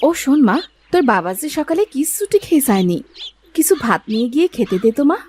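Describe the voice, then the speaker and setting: A woman's voice: high voice, very animated, fast, darkish tone, a little hiss or hum. A woman playing a sick, caring village mother in a fairy-tale cartoon, with a plaintive delivery. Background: light music.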